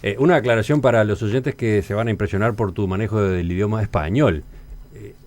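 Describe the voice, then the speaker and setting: A man hosting a radio interview, with emphatic, deliberate phrasing. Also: fairly low pitch, a little mellow. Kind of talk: interview with scripted introduction.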